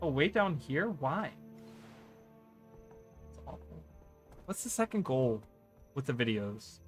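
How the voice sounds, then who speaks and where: A man's voice: mid-range voice, sing-song, slow, faint music behind. A man whose first language is German, chatting casually on a live stream, rambling with stammered restarts and a habit of asking "right?". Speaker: streamer and content creator.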